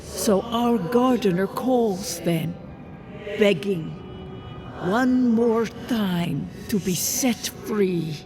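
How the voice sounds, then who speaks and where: Slow, solemn ancient spirit guardian. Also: mid-range voice. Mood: grave, foreboding. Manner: slow, grave, formal, archaic phrasing. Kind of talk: dramatic fantasy video game acting. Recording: game music under the voice.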